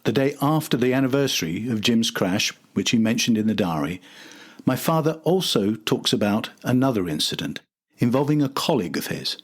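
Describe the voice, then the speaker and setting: An older man with a slightly husky voice, reading scripted documentary narration as a podcast host. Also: medium pitch, animated, brisk.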